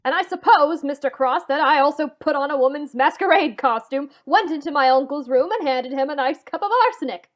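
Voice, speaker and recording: A woman acting in an old-time radio mystery as an indignant, scornful gentleman protesting an accusation. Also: high voice, brisk, hardly any pauses, lightly acted.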